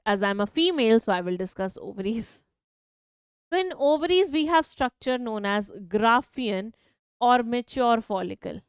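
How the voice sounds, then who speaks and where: A biology teacher with an Indian English accent, her high voice crisp and clear. She poses a question to her students and answers it herself in a steady lecturing flow. The sound is close and dry, with no room around it. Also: dry recording. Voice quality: high-pitched voice. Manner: asks questions then answers them herself. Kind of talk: online exam-prep lecture.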